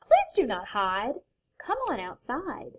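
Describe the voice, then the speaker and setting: A woman voicing a big friendly bear in a children's picture book, calling out curious questions with loud emphasis. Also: fairly high pitch, huge pitch swings, slow pace, full character voice. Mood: friendly, welcoming.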